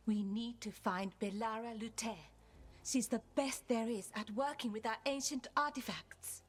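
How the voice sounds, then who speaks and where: A woman's voice: a little high, steady tone, moderate pace, husky. A woman playing a composed, earnest elven scout leader in a video game, serious and authoritative. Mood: earnest, urgent.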